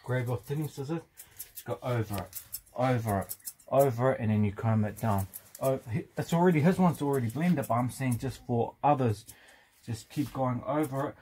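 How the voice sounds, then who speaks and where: A man's voice: mid-range voice, normal speed, darkish tone, breathy edge. A young man giving a casual step-by-step barber tutorial while cutting hair in a parked van, rambling and joking, with the odd swear.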